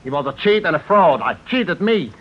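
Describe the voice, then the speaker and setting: An actor playing a touchy, formal, bitter diamond dealer from Amsterdam in a vintage radio suspense drama. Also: male voice, sits high, sing-song, moderate pace. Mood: huffy. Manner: formal, huffy. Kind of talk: 1940s radio suspense acting. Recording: dull vintage radio recording.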